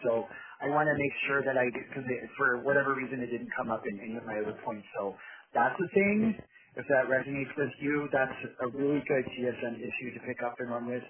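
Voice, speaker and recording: A man's voice: medium pitch, a little hiss or hum. A man giving an informal, rambling presentation over a conference call, often checking in with tag questions. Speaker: advocacy group chair giving a presentation. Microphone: telephone conference call. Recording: narrow tinny phone line, chatter behind.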